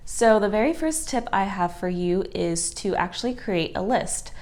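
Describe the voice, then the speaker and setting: A woman's voice: medium pitch, lively intonation, normal speed, darkish tone. A woman in her twenties with a nasal, stuffed-up voice from allergies, chatting casually to camera and giving tips. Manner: chatty, friendly, many fillers like "like" and "so". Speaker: thrifting vlogger. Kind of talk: casual vlog talk to camera.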